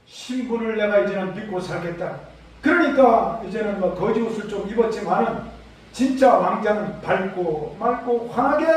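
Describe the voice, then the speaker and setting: Male pastor preaching sermon, high voice, super echoey church, kinda far from mic. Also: a little mellow. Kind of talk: Sunday sermon with scripture readings. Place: large church sanctuary during a service.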